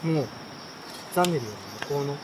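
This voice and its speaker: A man reading a children's story aloud in a relaxed, unhurried way, pausing between short phrases. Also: on the high side. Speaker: reader. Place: outdoors at night by a campfire at a campsite. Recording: clear insect and outdoor night noise. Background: insects, stream, campfire.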